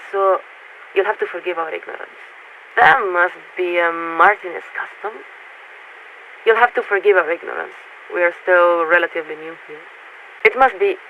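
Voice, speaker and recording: Formal warship signaler over crackly radio. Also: female voice, mid-range voice. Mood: curious, courteous. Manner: formal, measured, courteous, speaks as "we". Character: poised female military signaler aboard a coalition warship, politely bureaucratic. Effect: radio transmission filter. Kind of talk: scripted video game character dialogue. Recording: narrow radio band with static noise.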